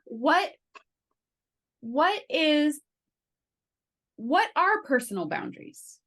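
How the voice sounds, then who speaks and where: A woman's voice: high voice, sing-song, extremely slow, a bit bright. Upbeat woman podcast host. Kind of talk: podcast interview.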